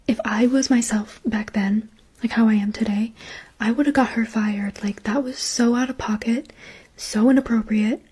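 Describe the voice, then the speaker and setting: A woman telling a personal story in a soft whisper, chatty and casual with many "like"s and "um"s. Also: fairly high pitch, breathy edge. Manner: whispering softly throughout; chatty, lots of "like" and "um".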